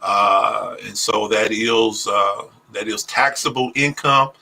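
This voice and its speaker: A man who is a pastor and a tax preparer, giving a casual livestream talk on taxes. He speaks slowly and deliberately, with many "uh" fillers. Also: fairly low pitch, sharp tone, rough recording. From Oklahoma, US. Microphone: phone.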